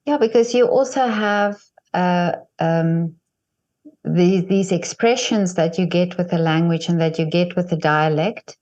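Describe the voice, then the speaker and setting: Lady interviewer, lowish voice, lots of mm-hmms and yeahs, encouraging. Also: measured pace, darkish tone, muffled recording. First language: Afrikaans. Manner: encouraging, frequent affirmations. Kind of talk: interview conversation.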